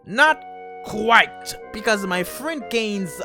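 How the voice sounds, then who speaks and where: A man's voice: sits high, lively intonation, takes it slowly, over music. A young man with a Haitian accent, telling a comedic story in a playful, theatrical way. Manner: playful, theatrical, switches into voices. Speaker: animated storytime narrator.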